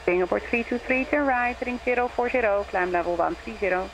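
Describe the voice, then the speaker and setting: A man's voice: high-pitched, brisk, fairly bright tone. Brief: male controller, clipped radio phraseology, heard through a noisy cockpit radio feed. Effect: radio transmission. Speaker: air traffic controller. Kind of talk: radio instructions to aircraft.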